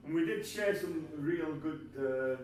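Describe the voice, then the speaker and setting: A man's voice: a little high, a little slow, darkish tone. Man chatting, distant and very echoey. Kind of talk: informal stage chat. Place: folk festival hall, before an audience. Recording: very echoey hall, distant, faint noise.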